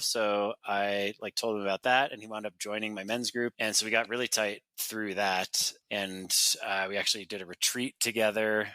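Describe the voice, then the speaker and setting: A man speaking as a podcast guest, chatting in a relaxed way with easy laughs and frequent fillers. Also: lowish voice, no echo, poor audio. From North America. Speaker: podcast guest, company founder. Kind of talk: casual interview talk.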